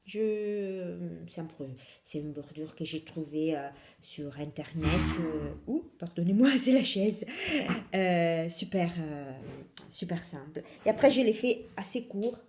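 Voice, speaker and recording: A woman's voice: fairly low pitch, expressive, measured pace, mellow, echoey room, slight background noise. A young woman from Italy with an Italian accent, hosting a casual knitting podcast in French, hesitant with many fillers and self-corrections.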